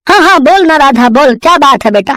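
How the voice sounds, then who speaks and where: A woman's voice: high voice, lively intonation, brisk, bright, forceful tone, no room sound. A woman voicing a kindly older male village headman in a moral story, chatty and folksy with fatherly encouragement. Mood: pleased, encouraging. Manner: chatty, folksy, with frequent exclamations and fatherly endearments. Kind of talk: dramatised Hindi moral storytelling.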